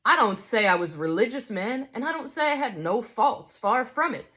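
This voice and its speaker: A woman's voice: fairly high pitch, lively intonation, normal speed, bright, forceful tone, voice pushed up. A woman voicing a big, self-righteous male ghost who complains in coarse working-class speech. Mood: aggrieved.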